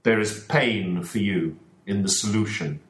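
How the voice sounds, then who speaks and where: A man reading an audiobook voices a calm, reserved detective in his own measured voice. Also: on the low side, natural voice. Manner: measured, grave. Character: calm older male detective, reserved and precise. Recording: roomy echo.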